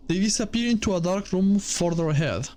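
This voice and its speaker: A man's voice: high-pitched, expressive, brisk, darkish tone, slight background noise. A man playing a visual novel, reading its text aloud as he goes. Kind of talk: game let's play, reading dialogue aloud.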